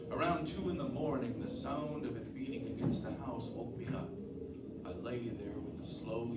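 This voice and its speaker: A man playing a brooding, uneasy married farmhand in an earnest, measured old-time radio drama style. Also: mid-range voice, close to an everyday voice. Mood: tense, narrating. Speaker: actor, narrator and lead. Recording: roomy, distant mic, clear background noise.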